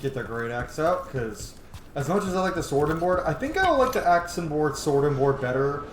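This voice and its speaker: A man's voice: on the high side, sing-song, moderate pace. Man casually commentating gameplay in echoey room. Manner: casual, profane. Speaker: gaming video host. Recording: roomy echo, clear background noise.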